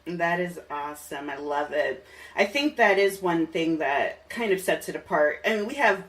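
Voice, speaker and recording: A woman's voice: low voice, animated, normal speed, recorded from a distance. A woman hosting a podcast, chatting casually and enthusiastically with a guest. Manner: enthusiastic, laughs often. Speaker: podcast host. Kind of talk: casual interview chat.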